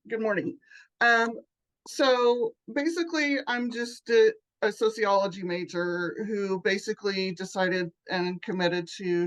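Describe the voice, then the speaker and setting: A woman's voice: medium pitch, low-quality recording. Brief: female guest presenter, modest, hesitant, conversational, call mic. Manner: modest, hesitant, many ums. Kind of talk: casual presentation.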